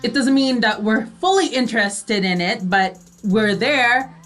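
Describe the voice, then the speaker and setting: Female radio co-host, fairly high pitch, casual and explanatory, faint music bed. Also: lively intonation, measured pace, a bit bright. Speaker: radio talk show co-host.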